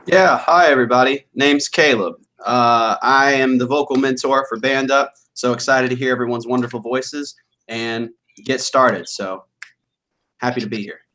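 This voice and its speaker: Man, vocal mentor, bright edgy tone, upbeat, faint clicks. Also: medium pitch, fairly even intonation, moderate pace, slight background noise. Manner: upbeat, friendly.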